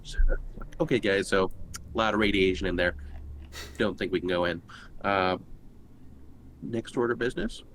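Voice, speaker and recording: A man's voice: deep voice, expressive, normal speed, long pauses, fairly bright tone. A man chatting casually as a player in a tabletop roleplaying game. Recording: clearly noisy background.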